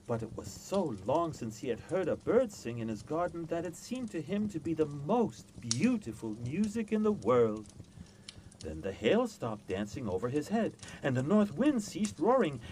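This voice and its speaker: A man reading a classic children's story aloud as an expressive scripted narration. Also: on the high side, normal speed, a little hiss or hum, poor audio. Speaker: storyteller, reader.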